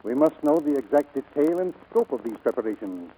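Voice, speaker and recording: A man playing a commanding, formal US Navy admiral in a 1940s radio drama, speaking gravely and deliberately. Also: medium pitch, lively intonation, mellow. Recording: muffled vintage 1940s radio recording.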